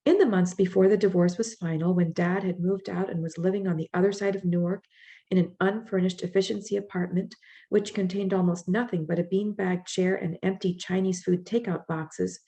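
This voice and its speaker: A woman's voice: on the low side, steady tone, moderate pace, mellow. A woman author with a Midwestern accent, speaking casually at an online library event and then reading from her stories, with some fillers and restarts. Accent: Midwestern American. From northeast Ohio.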